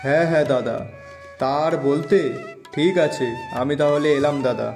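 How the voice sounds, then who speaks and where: A man performs a food-loving village friend in a moral-story cartoon. His voice is a bit high, with music clearly playing behind. He is eager and exclamatory, deferring to his elder companion. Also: normal speed, natural voice. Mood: agreeable.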